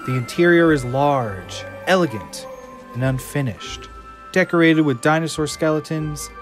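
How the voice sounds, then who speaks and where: A man narrating the stage directions of an audio drama in a steady, descriptive reading. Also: on the high side, unhurried, over music.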